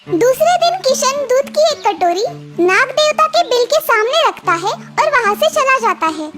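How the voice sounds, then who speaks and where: A woman's voice: extremely high pitch, sing-song, unhurried. A woman narrating a scripted children's moral story as the storyteller. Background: loud children's music.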